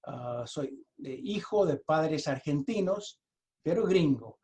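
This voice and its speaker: A man with an American accent, a native English speaker, giving an explanatory webinar presentation in his second language over a video call, halting and often restarting his sentences. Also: on the high side, lively intonation, warm tone. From United States. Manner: halting, with frequent restarts and repeated words.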